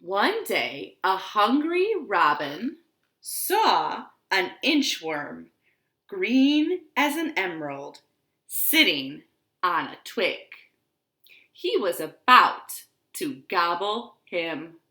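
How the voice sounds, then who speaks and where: A woman leads storytime for small children in a roomy, echoing home space. Her delivery is very slow and simple, with encouraging phrasing. The voice is bright and moves in lively swoops of pitch. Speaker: children's storytime host at a library. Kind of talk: children's storytime with rhymes and read-aloud. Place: living room at home.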